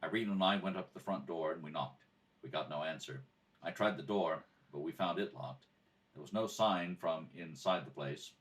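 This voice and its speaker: Man playing a deep-voiced, deadpan police detective. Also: normal speed, recorded from a distance. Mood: matter-of-fact. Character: terse, businesslike male police detective. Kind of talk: amateur radio drama read-through with clipped narration.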